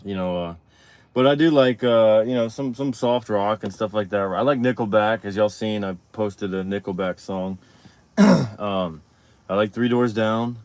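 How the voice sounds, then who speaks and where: Casual low-voiced man in his thirties. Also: a little slow, a little mellow, smooth, no room sound. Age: 30s. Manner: rambling, restarts often, reads viewer questions aloud. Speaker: livestream host. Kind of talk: casual livestream chat.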